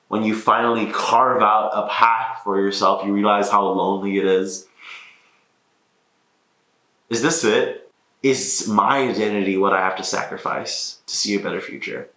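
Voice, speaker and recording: A man's voice: fairly low pitch, fairly even intonation, moderate pace, fairly bright tone, poor audio. A young man from Malaysia narrating a documentary in an earnest, introspective voice. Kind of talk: reflective scripted narration.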